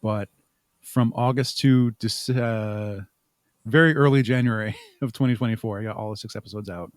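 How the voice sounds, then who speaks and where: A man's voice: on the low side, normal speed, no echo, studio quality. A man answering as an interview guest, talking conversationally and explaining things step by step with examples. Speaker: interview guest, audio drama creator.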